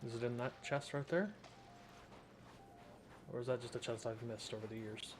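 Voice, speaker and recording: A man's voice: mid-range voice, steady tone, moderate pace, noisy background. A man giving casual gameplay commentary, half talking to himself as he plays. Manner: muttering to himself while playing. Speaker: gameplay streamer. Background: game music and sounds.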